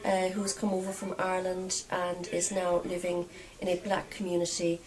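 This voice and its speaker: Woman, slightly low pitch, flat intonation, brief informal introduction. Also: normal speed, poor audio. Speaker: radio drama actress.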